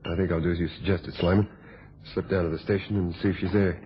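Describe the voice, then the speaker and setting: Bemused, blunt visitor for radio thriller; very low, hoarse-edged voice, musing delivery, dull recording. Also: male voice, level tone, quick pace. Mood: uncertain. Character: puzzled male visitor, easygoing but increasingly exasperated. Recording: muffled, dull old radio drama recording.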